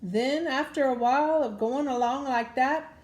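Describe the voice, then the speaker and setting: A woman's voice: high-pitched, moderate pace, a little mellow. A woman reads a children's story aloud in a folksy, dramatic storytelling manner. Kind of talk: animated Cajun folk storytelling. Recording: roomy echo around the voice.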